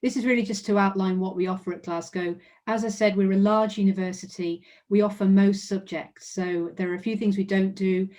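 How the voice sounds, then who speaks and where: A woman's voice: medium pitch, steady tone, fairly quick, few pauses, mellow. A woman with an English accent giving an online presentation about her university, friendly and informative, with frequent "um" fillers. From England. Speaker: university representative.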